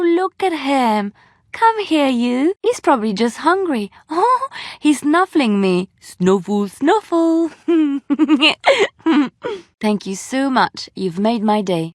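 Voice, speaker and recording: An actress voicing a gentle, earnest young woman bard in a video game, with an expressive, deliberate delivery. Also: mid-range voice, no room sound, clean studio sound. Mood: delighted, cooing. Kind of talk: scripted video game dialogue.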